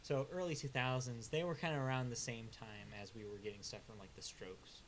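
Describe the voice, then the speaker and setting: A man's voice: medium pitch, steady tone, fairly quick, rough recording. A man chats casually through the week's album reviews, his talk full of filler sounds and restarts. His verdicts land blunt and dry. A faint hiss sits under the recording. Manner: laid-back, hesitant, many filler sounds. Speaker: music review co-host.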